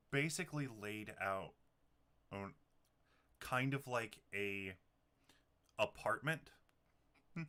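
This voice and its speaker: A man narrating a tabletop roleplaying game as game master, talking haltingly as he thinks things through. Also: lowish voice, bright, forceful tone, smooth.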